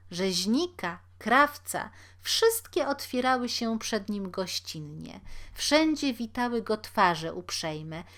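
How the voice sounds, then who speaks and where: A woman narrating a literary fairy tale aloud in an expressive, deliberate storytelling manner. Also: fairly high pitch.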